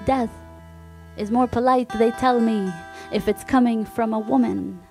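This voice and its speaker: A woman's voice: a little high, fairly even intonation, measured pace, darkish tone, faint music behind. A woman with an Arabic accent performing spoken word poetry on a live stage, rhythmic and repetitive, building to fierce intensity. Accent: Arabic-accented English. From Palestinian family, refugee camp.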